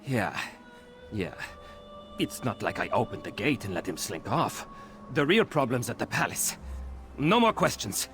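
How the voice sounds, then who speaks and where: A man's voice: mid-range voice, big pitch swings, measured pace, music in the background. An actor playing a harried, defensive male city guard in a fantasy video game, grumbling and curt. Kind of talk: video game character acting.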